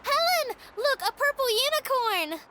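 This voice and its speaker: A woman's voice: very high-pitched, very animated, unhurried, over loud music, full character voice. A woman playing a spoiled, vain little girl in a radio drama, whiny, wheedling and bratty. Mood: excited.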